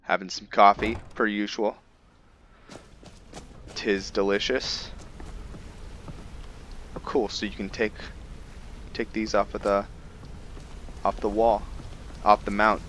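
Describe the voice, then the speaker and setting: Low-voiced man doing casual game commentary. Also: little pitch movement, slow pace, long pauses, a bit bright, a little hiss or hum. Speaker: gaming video host. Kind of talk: casual roleplay gameplay commentary. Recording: game music behind. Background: faint game music.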